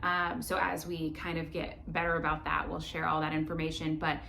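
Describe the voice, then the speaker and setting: A woman's voice: deep voice, completely flat delivery, brisk, vocal fry, pushed and bright, slight background noise. A woman co-hosting a travel vlog, chatting casually with lots of fillers and restarts. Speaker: vlog co-host. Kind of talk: casual chat.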